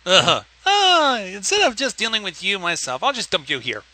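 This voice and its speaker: A man's voice: sits high, wildly swooping pitch, bright, forceful tone. A man co-hosting a fanfiction reading with casual, sarcastic joking commentary. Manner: sarcastic, heckling, drawn-out reactions.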